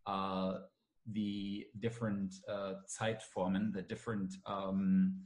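A man's voice: low voice, little pitch movement, slow pace, darkish tone. A man who teaches languages, talking casually with frequent fillers and restarts. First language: English. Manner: hesitant, many fillers and restarts. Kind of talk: casual conversation.

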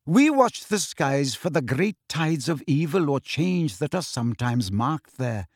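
A man acts out a proud, solemn centaur teacher from a storybook. His pitch sits high for a male voice and moves widely, while the delivery stays measured, formal and aloof. The sound is close and dry. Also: dry recording. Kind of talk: acted audiobook dialogue.

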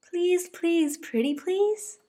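A teenage girl voicing a small, excitable boy bunny in a storybook reading, repeating his words in a sing-song way. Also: extremely high pitch, slow, voice pushed up. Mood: eager.

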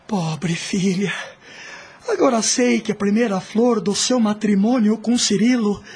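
A man's voice: high-pitched, unhurried, darkish tone. An actor playing a sorrowful, weary old father in a radio soap opera, with mournful, tearful delivery. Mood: grieving, weary.

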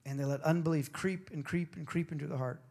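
Throaty, gravelly high-voiced male preacher. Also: fast talker, darkish tone. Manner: emphatic preaching, shifting into casual asides. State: hoarse after singing. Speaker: pastor. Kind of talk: sermon.

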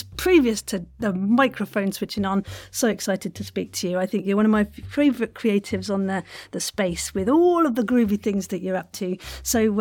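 A middle-aged woman hosting an interview podcast in an exuberant, theatrical, gushing manner. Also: a little high. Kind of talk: effusive scripted introductions and casual interview chat.

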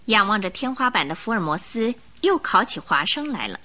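A woman's voice: mid-range voice, very animated, a little fast, pushed and bright. A woman reading dramatic scripted narration for a radio drama.

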